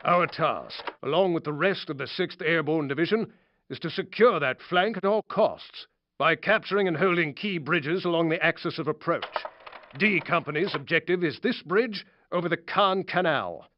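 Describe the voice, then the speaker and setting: An actor playing a stern British army officer giving a formal briefing to troops in a video game, clipped and commanding. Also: male voice, on the high side, normal speed, lightly acted. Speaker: military officer. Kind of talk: scripted briefing. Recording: dull game audio with clear noise.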